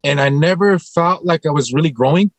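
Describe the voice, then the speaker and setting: A man speaking earnestly on a panel as an actor, thoughtful and halting, often repeating a phrase as he goes. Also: a little high, dry recording.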